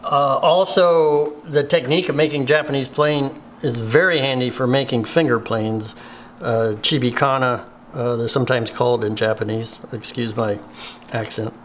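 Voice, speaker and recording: Middle-aged male craftsman, mid-range voice, relaxed show-and-tell, slight room hiss. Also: mid-range voice. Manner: unhurried, plainspoken, many fillers. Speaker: woodworking instructor. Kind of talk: hands-on workshop tutorial.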